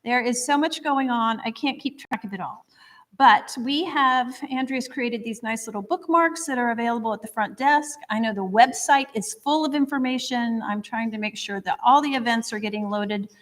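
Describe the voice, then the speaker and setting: A woman's voice: fairly high pitch, normal speed. A woman hosting a history society talk, chatty and upbeat as she makes announcements and introduces the speaker to a live audience. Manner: chatty, upbeat, a little rambling. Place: lecture room before an audience. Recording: long hall reverb.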